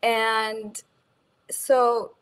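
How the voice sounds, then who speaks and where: A woman's voice: a little high, level tone, drawn-out words, smooth, poor audio. Woman, from Kenya, interview guest, casual spoken answers, frequent fillers and restarts. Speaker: guest speaker.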